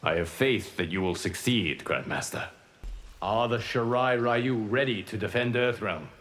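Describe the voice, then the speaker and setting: An actor playing a calm, wise male god who guards the realm in a video game. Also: fairly low pitch, measured pace. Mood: calm, grave. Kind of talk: video game fight intro dialogue.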